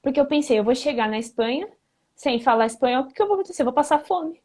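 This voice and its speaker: Casting: woman guest, fairly high voice, fast chatty storyteller. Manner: lively, fast anecdotes with laughs. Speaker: guest, former exchange student. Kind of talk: casual storytelling interview.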